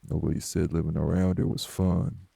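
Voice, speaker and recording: A man playing a morose older wraith in an audio drama, blunt, folksy and scolding, with a husky, gravelly voice. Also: little pitch movement, brisk, no room sound, heavily acted. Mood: gruff, challenging. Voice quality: very gravelly, husky, dark low voice. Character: morose older male wraith, rural homebody, stern but hospitable. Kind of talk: dramatic audio roleplay acting.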